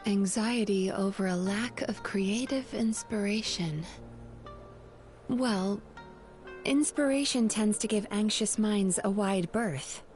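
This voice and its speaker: A woman playing a gentle, composed young therapist in a video game, speaking in a measured, soothing manner. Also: mid-range voice, soft background music, natural voice. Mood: calm, soothing.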